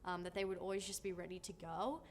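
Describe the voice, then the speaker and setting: A woman's voice: on the low side, flat delivery, quick pace. A young woman, a student theater director, talking reflectively in an interview. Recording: music under the voice.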